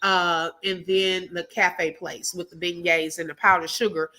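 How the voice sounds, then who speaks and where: Bright, laughing woman with a Texas accent. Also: fairly low pitch, level tone, unhurried. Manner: chatty and laughing, calls viewers baby and girl. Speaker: live stream host. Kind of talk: casual live chat, reading viewer comments.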